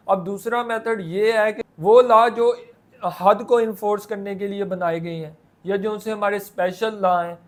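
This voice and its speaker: Man, Pakistani accent, high-pitched voice, didactic lecturer, explanatory delivery with questions and answers. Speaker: law lecturer.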